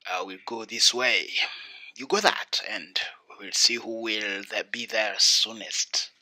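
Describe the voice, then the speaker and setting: A man's voice: lowish voice, fairly even intonation, slow, sharp tone, no room sound, voice pushed down, exaggerated voice. A man voicing a sly, hungry wolf in a storybook tale, coaxing with false sweetness and menace. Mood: sly, scheming. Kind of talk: storybook character voice.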